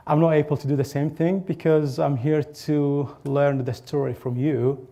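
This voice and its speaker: A man gives an academic talk at a conference. His voice is mellow and dark, sitting at an ordinary pitch for a man, and his delivery is halting, with frequent pauses and restarts. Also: gravelly. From Egypt. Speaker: academic presenter. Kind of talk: conference presentation.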